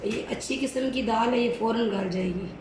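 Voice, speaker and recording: A woman's voice: a little high, moderate pace. A woman with a sore throat, explaining a home recipe step by step for her cooking channel. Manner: plain, step-by-step home cooking talk with pious phrases. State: sore throat, voice not clear. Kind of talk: casual cooking vlog narration. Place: home kitchen. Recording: echoey kitchen with loud background noise.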